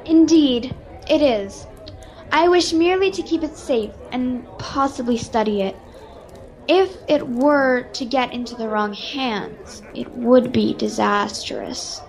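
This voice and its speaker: A female performer playing a brisk, secretive noblewoman in a radio-style adventure serial. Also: high-pitched, low-quality recording. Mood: earnest. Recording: video call with background music.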